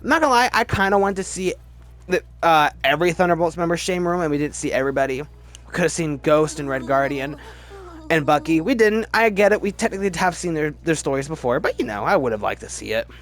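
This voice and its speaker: A man giving casual, opinionated commentary as a video host, emphatic and halting, often restarting his sentences. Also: on the high side, lively intonation, a bit bright. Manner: chatty, halting, restarts sentences mid-word. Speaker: movie review video host. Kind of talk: casual ranked-list movie commentary.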